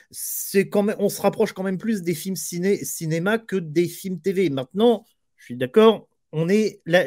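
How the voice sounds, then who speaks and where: A man's voice: high voice, warm tone, no room sound, clean studio sound. A man from Berry in France hosting a film review show in casual chat, joking and hesitant, often repeating words.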